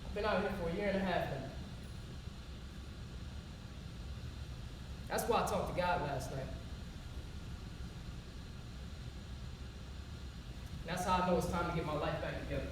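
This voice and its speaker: A woman's voice: on the low side, very fast, close to an everyday voice. A woman playing a wounded yet faithful grieving woman in an emotional, colloquial theatrical monologue. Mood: resolved, hopeful. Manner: emotional, colloquial, emphatic, pleading. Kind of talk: theatrical one-act stage monologue. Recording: roomy echo, distant mic, loud noise.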